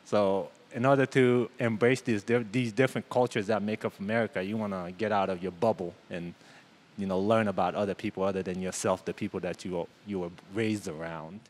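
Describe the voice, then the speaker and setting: A man's voice: fairly low pitch, fairly even intonation, brisk, a little mellow. A man from Haiti speaking conversationally as a guest, explaining his own views and story. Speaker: panel guest from a church.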